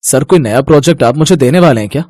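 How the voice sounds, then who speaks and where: A man's voice: medium pitch, animated, fast, warm tone, dry recording, lightly acted. Man playing an earnest young son, close and dry. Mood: respectful. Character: eager, respectful young manager, dutiful son.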